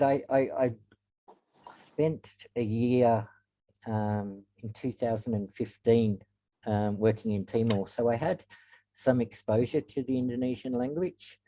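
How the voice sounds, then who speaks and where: A man giving a reflective webinar talk as guest speaker, pausing often with ums. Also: medium pitch, warm tone, poor audio. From Australia. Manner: reflective, frequent ums and pauses. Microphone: video call.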